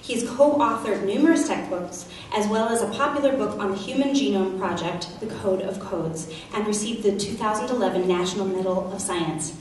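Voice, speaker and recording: Female event host, formal scripted delivery, medium pitch, heard at a distance. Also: moderate pace, hardly any pauses, darkish tone. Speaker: event host introducing guests. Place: large hall. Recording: echoey hall, distant, faint noise.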